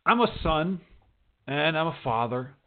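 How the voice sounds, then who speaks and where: A man's voice: on the high side, expressive, a little slow, narrow, tinny audio. A man hosting a casual interview, talking informally and probing in short, rephrased bursts. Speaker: host, interviewer. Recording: band-limited radio-style voice recording.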